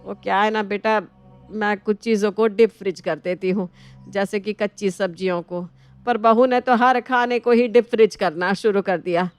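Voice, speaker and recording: A woman playing a fussy, dramatic older mother-in-law who complains, in an animated family story. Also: medium pitch, moderate pace, clean tone, dry recording, soft background music, lightly acted. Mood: plaintive, dramatic.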